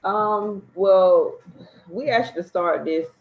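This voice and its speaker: A man, a parent on a webinar panel, talking in a relaxed way with many fillers over a video call. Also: sits high, takes it slowly. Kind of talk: casual panel chat. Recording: echoey room, dull sound.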